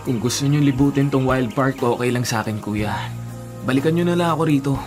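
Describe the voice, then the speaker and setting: An actor playing a sickly, earnest youngest brother in a Filipino radio drama. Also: male voice, medium pitch, brisk, natural voice. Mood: friendly. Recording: loud music bed behind voice.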